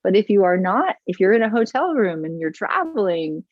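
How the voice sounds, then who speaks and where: A woman's voice: medium pitch, sing-song, brisk, dry recording, highs cut off. A woman teaching art over a video call, effusive and full of praise, often restarting her sentences. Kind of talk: warm, gushing teaching chat.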